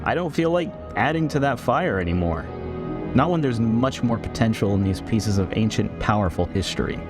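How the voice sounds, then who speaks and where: A man playing a defiant, principled military commander in a science fiction audio drama, guarded and measured with hesitant pauses. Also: on the low side, animated, over music.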